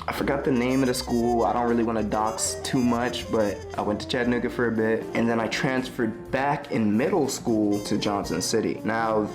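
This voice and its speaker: A young man from Tennessee telling his life story in a casual, slangy, rambling way, often correcting himself. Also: medium pitch. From Tennessee, USA. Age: young adult. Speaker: vlogger. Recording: music playing under the voice.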